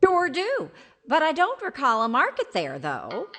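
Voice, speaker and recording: A woman playing a patient, dry-witted wife who gently teases, in old-time radio comedy style. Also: high-pitched, wildly swooping pitch, unhurried, exaggerated voice. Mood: teasing.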